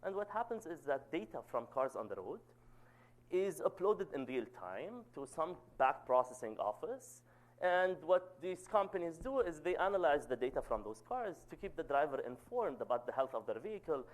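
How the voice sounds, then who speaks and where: A man in his thirties with a loud voice, giving an academic seminar talk and often checking in with "okay?". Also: normal speed, rough recording. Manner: says "okay?" to check in, lively pitch. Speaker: guest lecturer.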